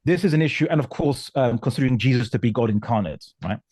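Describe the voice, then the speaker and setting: Halting, emphatic man explaining his views. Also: mid-range voice, expressive, darkish tone, slightly rough, no room sound, clean studio sound. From Portugal. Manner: emphatic, halting, often repeating words. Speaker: guest commentator on religion and politics. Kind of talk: explanatory discussion.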